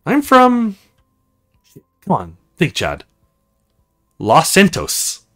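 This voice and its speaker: A man's voice: high-pitched, huge pitch swings, extremely slow, fairly bright tone, faint music behind, voice pushed up, full character voice. A man voicing an awkward, earnest young male recruit in a visual novel, hesitant and self-conscious. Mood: nervous.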